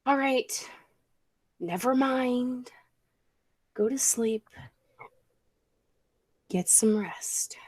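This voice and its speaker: A woman's voice: a little high, very slow, long pauses, a little mellow, no room sound. A woman playing a suspicious, hot-tempered male time traveller in a radio play, with an urgent, demanding manner. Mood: resigned. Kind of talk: 1940s radio play acting.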